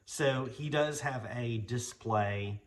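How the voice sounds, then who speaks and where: A man's voice: medium pitch, takes it slowly. An American man hosting a casual show-and-tell vlog, talking in a folksy, enthusiastic, rambling way. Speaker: vlog host.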